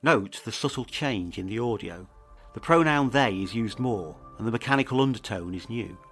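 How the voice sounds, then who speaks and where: A man's voice: fairly low pitch, lively intonation, moderate pace, a bit bright. A man presenting a scripted investigative mystery podcast, narrating in a serious, ominous manner. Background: faint music.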